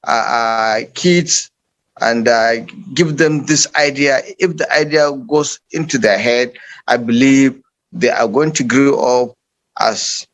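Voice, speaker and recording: Nigerian man, panel guest, deliberate slow delivery with many pauses and fillers. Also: medium pitch, a bit bright. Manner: unhurried, with frequent uh fillers and restarts. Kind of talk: online panel discussion.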